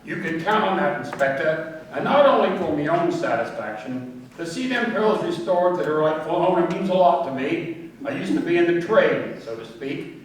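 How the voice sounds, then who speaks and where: A man's voice: on the high side, animated, normal speed, close to an everyday voice. An actor playing an earnest, deferential working-class male witness in a radio crime play, speaking respectfully and colloquially. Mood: sincere. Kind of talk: radio crime drama acting. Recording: echoey distant room, faint noise.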